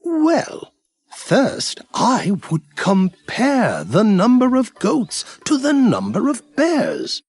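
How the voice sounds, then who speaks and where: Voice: mid-range voice, huge pitch swings, extremely slow, darkish tone, slightly rough, no room sound, full character voice. An actor playing a pompous yet patient storybook narrator in a children's cartoon, formal and dramatic in delivery. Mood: grand, playful.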